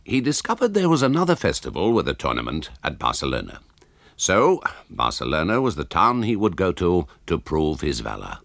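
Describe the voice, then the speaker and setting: A man's voice: low-pitched, wildly swooping pitch, normal speed, creaky, fairly bright tone, no room sound, studio quality, full character voice. An actor playing an embittered old author who narrates his tale in a radio drama, wry, scornful and grandiloquent. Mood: wry storytelling.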